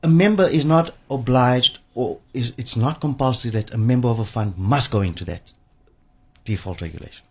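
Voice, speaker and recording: A man speaking as an expert radio guest, emphatic and repeating phrases for effect, with a husky voice. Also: medium pitch, brisk. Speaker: interview guest, pensions industry expert. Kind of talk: radio interview. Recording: dull lo-fi radio audio.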